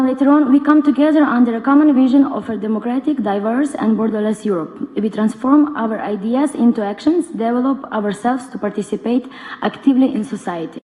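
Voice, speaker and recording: A young woman with a Ukrainian accent gives a semi-prepared talk as a conference panelist, halting as she searches for words. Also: fairly high pitch, phone-quality sound. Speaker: conference panelist, NGO board member. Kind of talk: semi-prepared public speech. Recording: reverberant conference hall.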